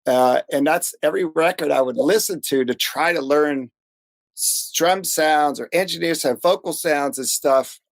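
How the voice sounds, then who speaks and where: A man's voice: fairly high pitch, normal speed, gravelly, dry recording. An American man, a music producer and engineer being interviewed, chatting casually in an enthusiastic, self-deprecating, colloquial way. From United States. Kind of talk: casual chat.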